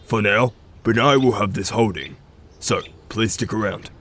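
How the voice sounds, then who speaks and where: A man's voice: lowish voice, normal speed, a bit bright. A man playing a troubled, determined pack leader in a fantasy audio drama, speaking formally and earnestly with a commanding manner. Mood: resolute.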